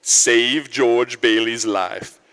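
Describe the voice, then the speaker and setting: A man playing a calm, commanding senior angel in a 1940s-style radio drama, measured and authoritative. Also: mid-range voice, clean tone. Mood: calm authority. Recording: reverberant live hall.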